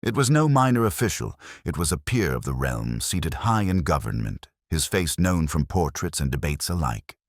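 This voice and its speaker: A male audiobook narrator with an extremely deep voice and wide, expressive intonation. He reads in a measured, literary style with dramatic weight, recorded dry and close. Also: no room sound. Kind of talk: scripted dramatic story narration.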